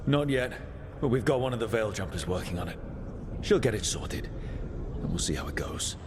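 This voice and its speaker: An actor playing an earnest young elven mage hero in a video game, speaking plainly with an inquiring manner. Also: male voice, fairly low pitch, sing-song, moderate pace, in a large hall, background noise. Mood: resolved. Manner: plain-spoken, questioning, earnest. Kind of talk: dramatic video game dialogue.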